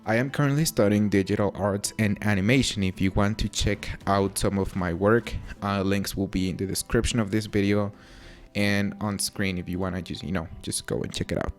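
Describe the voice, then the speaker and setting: A young man from Mexico in his late teens with a Mexican accent softened by British touches, chatting casually and answering viewer questions with a relaxed, self-deprecating manner. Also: fairly low pitch, a little fast. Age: young adult. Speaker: YouTuber. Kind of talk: casual Q&A to camera. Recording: faint music underneath.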